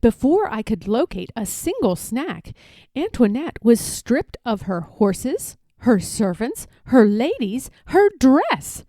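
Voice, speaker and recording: Female storyteller for children's picture book: mellow, unhurried, expressive, close dry sound. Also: on the high side, dry recording. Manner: deliberate, animated storytelling. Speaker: read-aloud storyteller.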